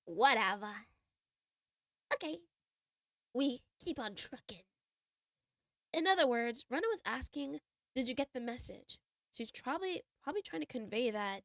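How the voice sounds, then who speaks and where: A woman's voice: sits high, big pitch swings, moderate pace, pauses often, no room sound, studio quality. A young woman streamer with a stuffy cold nose, bubbly and giggly as she chats and reads a game story aloud. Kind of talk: casual chat and reading a visual novel aloud.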